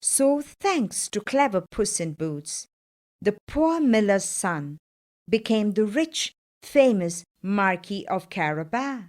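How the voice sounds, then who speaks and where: A woman's voice: mid-range voice, sing-song, takes it slowly, darkish tone. A woman narrating a children's fairytale from a script, as a storyteller.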